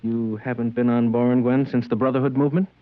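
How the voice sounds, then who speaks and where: An actor playing a stern, dogmatic customs agent with a curt, preachy manner, in 1950s radio drama style. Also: male voice, medium pitch, flat delivery, fast, lightly acted. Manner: curt, matter-of-fact, preachy. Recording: dry, dull old radio recording.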